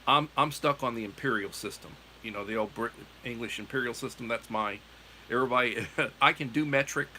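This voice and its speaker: Man, from the US, middle-aged, livestream host, casual rambling chat, bright, medium pitch. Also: brisk, a little hiss or hum. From United States. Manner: meandering, self-correcting, chatty.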